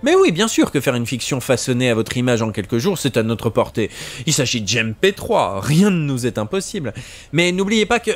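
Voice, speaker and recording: A man's voice: medium pitch, big pitch swings, fairly quick, close to an everyday voice. A man playing a pompous, scheming start-up boss in an audio comedy, grandiloquent and full of English business jargon. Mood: slick, obsequious. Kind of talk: audio comedy drama acting.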